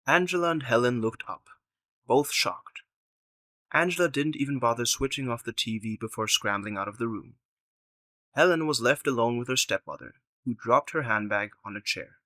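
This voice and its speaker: Male narrator, bright crisp-edged tone, medium pitch, scripted storytelling, close dry sound. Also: normal speed, no echo.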